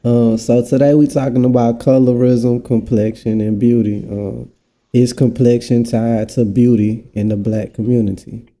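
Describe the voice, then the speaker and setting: A man's voice: medium pitch, little pitch movement, moderate pace, mellow. A man hosting a casual podcast, laid-back and encouraging. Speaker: podcast host.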